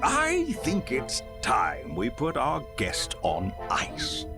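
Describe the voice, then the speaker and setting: An actor playing a pompous, scornful toad crime boss in a theatrical, gloating, grandiose manner for a video game. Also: male voice, mid-range voice, wildly swooping pitch, music in the background, exaggerated voice. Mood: smug menace. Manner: grandiose, pun-loving, deliberate.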